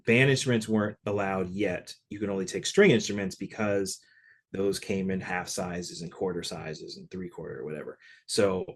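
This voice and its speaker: A fairly low-pitched man from Connecticut, speaking as a podcast guest. He talks loosely and conversationally, sprinkling his stories with casual fillers. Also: moderate pace, creaky, slightly rough. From Connecticut, USA. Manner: relaxed, conversational, many "like" fillers. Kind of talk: casual interview storytelling.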